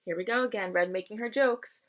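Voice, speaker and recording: A woman with a medium-pitched voice gives a storybook read-aloud. Her delivery is expressive, and she sounds teacherly, putting things simply as if for young listeners. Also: fairly quick, lo-fi sound.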